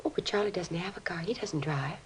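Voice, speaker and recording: An actress playing a distraught, disbelieving middle-aged American mother in an old radio crime drama, with a breathy, fragile voice. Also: fairly low pitch, a little fast, lightly acted. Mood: stunned disbelief. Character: distraught, grieving mother of a teenage boy, disbelieving.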